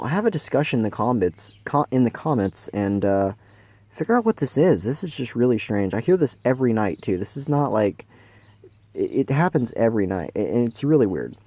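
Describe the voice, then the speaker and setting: A man's voice: medium pitch, big pitch swings, fairly quick, warm tone. A man with an American accent narrating a casual vlog in a hesitant, informal way. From Kansas, United States. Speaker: amateur video maker, CB radio newcomer.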